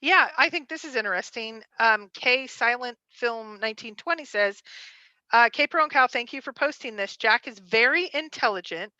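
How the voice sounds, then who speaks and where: A woman's voice: on the high side, lively intonation, normal speed, sharp tone, no room sound, dull audio. A woman co-hosting a livestream, chatting casually and frankly, often digressing and reading out viewer comments. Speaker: livestream co-host.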